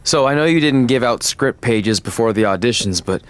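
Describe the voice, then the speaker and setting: A man's voice: medium pitch, expressive, moderate pace, clean tone, lightly acted. Eager young wrestler for game dialogue, played by a man: boyish, sincere, mid-range voice. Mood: determined. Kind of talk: video game cutscene acting.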